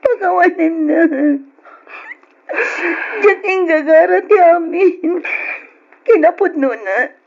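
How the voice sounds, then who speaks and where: A woman's voice: very high voice, takes it slowly, long pauses, darkish tone, full character voice. An actress playing an emotional, pleading and reproachful woman in an old Ilocano radio drama. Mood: tearful pleading. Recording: dull lo-fi radio drama recording.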